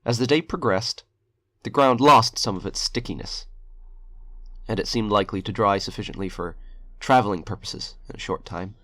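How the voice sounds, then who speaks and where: A man reading a horror short story aloud in a slow, grave, brooding way. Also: fairly low pitch, fairly bright tone, no room sound. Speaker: narrator.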